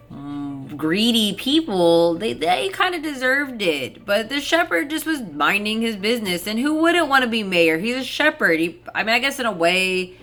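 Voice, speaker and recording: A woman storyteller who reads fairy tales and comments on them in an informal, rambling, expressive way. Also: on the low side. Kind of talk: storybook narration with casual chatty commentary. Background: soft ambient background music.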